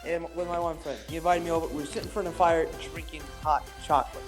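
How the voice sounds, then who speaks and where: A man's voice: fairly high pitch, fairly even intonation, rapid-fire, over music. A teenage boy, a podcast co-host, rambling through a long story with lots of "I was just like" asides. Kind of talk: casual chat, telling a long story.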